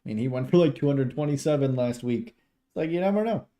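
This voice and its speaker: Mellow American man commentating football casually. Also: medium pitch, lively intonation, fast talker. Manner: rambling, informal, sweary, talks sports betting slang. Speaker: amateur livestream football play-by-play commentator. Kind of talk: casual play-by-play commentary mixed with chat.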